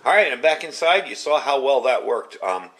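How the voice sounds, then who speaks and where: A man's voice: mid-range voice, sing-song, moderate pace, a bit bright. Man, product reviewer, chatty, casual unscripted delivery, conversational.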